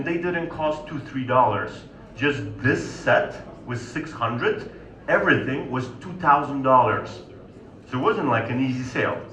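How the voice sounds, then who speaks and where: A man's voice: fairly high pitch, sharp tone. A man in his thirties with a Polish accent gives a conversational sales talk to an audience and keeps checking in with them. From Poland. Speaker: sales consultant giving a talk. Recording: echoey hall, distant mic.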